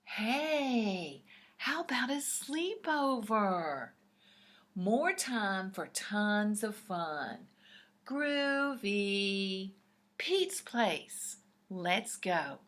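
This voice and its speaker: Woman playing a bright, laid-back cool cat. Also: medium pitch, lively intonation, drawn-out words, heavily acted. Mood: upbeat, groovy. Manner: hip slang, easygoing.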